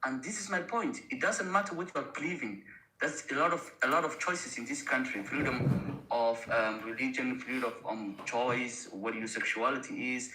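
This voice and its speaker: A Somali man who grew up in Austria, earnestly giving his opinion in halting during a debate. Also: mid-range voice, pushed and bright, slight background noise. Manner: halting non-native phrasing, earnest. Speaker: debate panellist. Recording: echoey room sound.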